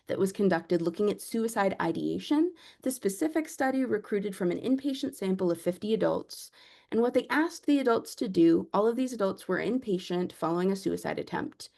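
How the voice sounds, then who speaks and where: A woman lecturing as a clinical psychologist, speaking in a measured, explanatory way with a naturally soft voice. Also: medium pitch, gravelly. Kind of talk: academic lecture.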